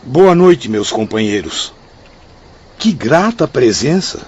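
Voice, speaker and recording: An actor playing a humble, welcoming spirit of a medium in a radio drama, formal and gracious. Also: male voice, medium pitch, sing-song, takes it slowly, a little mellow, slightly rough, muffled recording, exaggerated voice.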